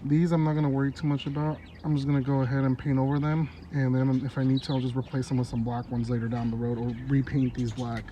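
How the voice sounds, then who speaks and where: A man's voice: medium pitch, fast, hardly any pauses, a little hiss or hum, low-quality recording. Congested man vlogging outdoors. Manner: relaxed, chatty, laughs often. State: congested, stuffy nose. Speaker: car hobbyist vlogger. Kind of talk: casual how-to vlog narration. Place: outdoors in a yard. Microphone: phone held while working.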